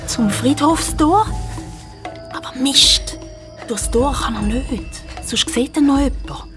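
A woman's voice: high-pitched, slow. A woman with a Swiss German accent narrating a children's radio play in a suspenseful, storytelling manner. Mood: hushed suspense. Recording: music behind the voice.

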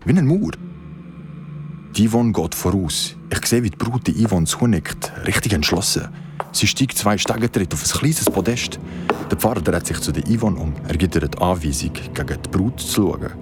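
A deep Swiss male voice tells a dreadful story as someone still shaken by it. It is radio-play narration, with dramatic music behind the words. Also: animated, normal speed. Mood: agitated, horrified. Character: shaken young city man recounting a horror wedding. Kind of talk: audio drama, first-person storytelling.

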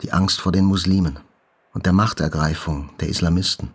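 An actor playing a writer's brooding inner voice in a grave, contemplative literary monologue. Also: male voice, low voice, fairly even intonation, a little fast. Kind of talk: literary monologue in audio drama. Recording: clear music behind the voice.

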